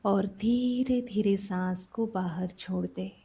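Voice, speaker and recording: Very slow, mellow woman guiding sleep meditation. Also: medium pitch, vocal fry, slightly rough. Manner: soothing, unhurried, pausing between short phrases. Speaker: meditation guide. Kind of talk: guided sleep meditation narration.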